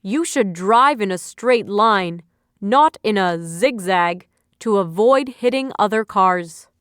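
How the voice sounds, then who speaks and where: A woman's voice: mid-range voice, expressive, slow, a bit bright, smooth, no echo, studio quality. A woman reading a scripted vocabulary quiz for learners in a carefully phrased, instructional way. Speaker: vocabulary teacher.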